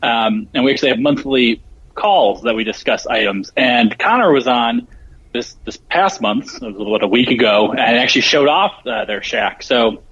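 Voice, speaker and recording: Husky-ish guy explaining stuff on a show, casual, dull lo-fi connection. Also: medium pitch, sing-song, normal speed, a bit bright. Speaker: show guest, program organizer. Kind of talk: casual informational talk.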